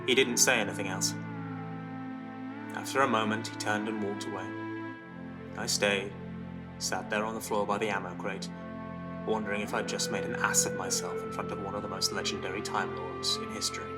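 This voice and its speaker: A man's voice: on the low side, little pitch movement, moderate pace, pauses often. A man reading a scripted first-person dramatic story as narrator, with music behind him. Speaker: narrator, writer-reader.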